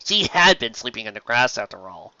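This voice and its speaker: A woman reading scripted fan fiction aloud as an expressive narrator. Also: a bass voice, a little fast, no echo. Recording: slightly dull, lo-fi recording.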